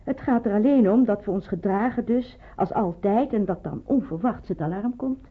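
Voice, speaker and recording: An actress playing a businesslike woman in hiding who explains things briskly, in an old radio play. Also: medium pitch, husky, close to an everyday voice. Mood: brisk, instructive. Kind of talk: Dutch radio play acting. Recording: muffled, narrow-band old radio recording.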